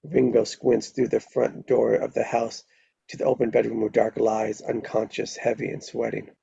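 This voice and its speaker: A man's voice: medium pitch, level tone, normal speed, gravelly, dark tone, husky, no echo. A man reading stage directions as narrator at a live screenplay table read, on a dull lo-fi microphone.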